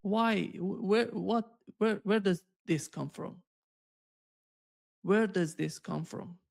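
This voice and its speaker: A man's voice: high voice, very slow, gravelly. A man hosting a political show, giving spontaneous commentary in an insistent way, often repeating phrases. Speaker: host.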